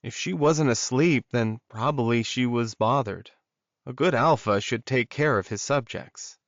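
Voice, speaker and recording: A male audiobook narrator reads scripted fiction. His voice sits at a medium pitch for a man. The recording is dry, with little sense of space around him. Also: a little slow, no echo, highs cut off.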